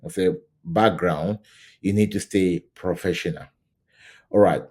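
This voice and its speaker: Middle-aged male coach from Cameroon, low warm voice, loose chatty teaching style. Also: steady tone, measured pace. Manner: conversational, repeats "right?" and restarts often. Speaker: scrum coach. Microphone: camera mic, facing camera.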